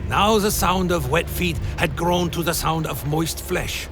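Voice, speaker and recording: A man playing a grand inquisitor in a dreamy, defiant blood trance, narrating a dark fantasy radio play in slow, deliberate, literary prose. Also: on the high side. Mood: entranced. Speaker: narrator and lead character. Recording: dramatic music behind. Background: loud music bed.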